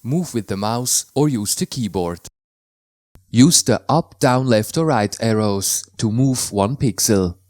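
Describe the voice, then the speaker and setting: A man from Switzerland, a native Swiss German speaker with a Swiss German accent, calmly explaining how to install and use software in a tutorial voice-over, with slightly non-native phrasing. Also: medium pitch, slow pace, no room sound, studio quality. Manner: careful, plain instructional delivery in slightly non-native English.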